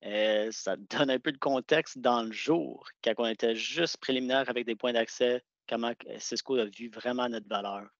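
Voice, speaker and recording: A man's voice: mid-range voice, moderate pace, hardly any pauses, no room sound. A man giving a product presentation over a video call, speaking conversationally with hesitant restarts. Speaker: sales engineer presenting products. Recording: dull, dry video-call audio.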